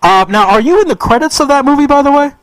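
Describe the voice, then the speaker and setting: High-voiced playful male radio host. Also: expressive, fast, fairly bright tone, dry recording. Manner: upbeat, playful, laughs easily. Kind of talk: radio talk show interview.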